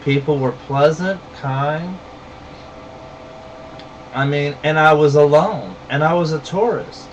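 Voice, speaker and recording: A man's voice: a little high, slow pace, long pauses, recorded from a distance, a little hiss or hum, low-quality recording. A man with a Southern US accent, ranting bluntly and saltily to camera in a heated monologue. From rural southern United States. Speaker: vlogger.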